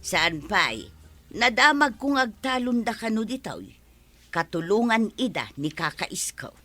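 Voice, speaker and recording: A bright, clear-edged Ilocano-accented woman's voice at medium pitch, played by an actress, as a kindly older village matron. She is forgiving and matter-of-fact, in a radio comedy. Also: very animated, unhurried, exaggerated voice. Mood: reasonable. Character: kind-hearted older village woman, generous neighbour.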